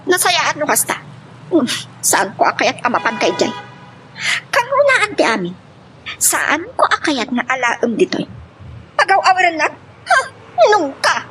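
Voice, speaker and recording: A woman's voice: high voice, big pitch swings, unhurried, long pauses, pushed and bright, full character voice, poor audio. A woman with an Ilocano accent playing an emotional mother and wife in a family love drama. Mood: pleading, agitated. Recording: clear background noise.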